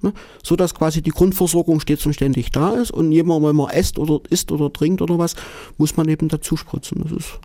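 A man's voice: a little high, fairly even intonation, dark tone, no room sound. A man from Germany living in Japan, a guest telling his stories in a conversational interview, often repeating words and tagging "ne". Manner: halting, repeats words, frequent "ne" tags.

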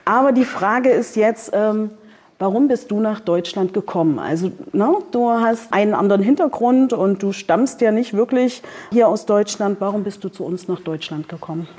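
A woman's voice: mid-range voice, moderate pace, a little mellow, slightly rough. A woman, a publisher moderating a book presentation in front of an audience, chatty and hesitant with many fillers. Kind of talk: casual event moderation. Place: event venue with audience.

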